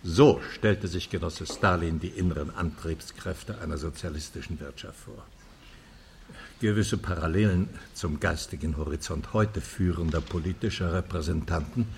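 A man's voice: sits low, gravelly, a little hiss or hum, heavily acted. An actor playing an older male economics professor in a radio drama, lecturing with courtly irony and rhetorical flourish. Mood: sardonic. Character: charming, sardonic old male economics professor and dissident.

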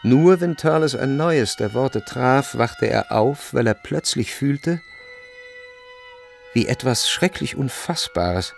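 A man narrating a literary radio drama in a measured, grave and expressive way. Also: mid-range voice, vocal fry, soft background music.

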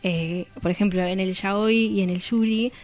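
Casting: woman, average pitch, conversational radio guest who explains informally. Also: fairly even intonation, moderate pace, darkish tone, soft background music. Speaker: guest discussing comics and manga. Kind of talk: casual radio interview discussion.

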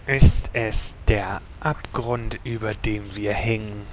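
A man playing a grumpy, exasperated boss with a menacing deadpan, in a German comedy audio drama. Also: on the low side, takes it slowly, slight background noise. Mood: exasperated, threatening.

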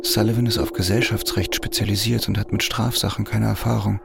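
An actor playing an embittered, jealous prisoner and husband in a radio crime drama, with a gravelly, brooding delivery. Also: male voice, fairly low pitch, little pitch movement, brisk. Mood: bitter, scornful.

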